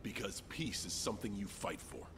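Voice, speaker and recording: A brash helmeted vigilante from a video game, taunts in a lively, swinging voice. He is cocky, crude and profane, and music plays behind him. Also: on the low side, normal speed. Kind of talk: video game character banter.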